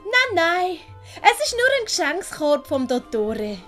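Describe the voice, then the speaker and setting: Woman as a polite shrewd servant girl, raised pitch. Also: sing-song, measured pace, over music, full character voice. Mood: wary, shrewd. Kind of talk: commedia dell'arte audio play acting.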